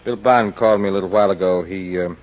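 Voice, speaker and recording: An actor playing a reserved, guarded male lawyer in an old radio mystery, speaking in a measured, formal way. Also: low voice, fairly even intonation, natural voice. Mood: guarded politeness. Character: reserved, guarded male attorney and loyal friend. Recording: dull, noisy old radio recording.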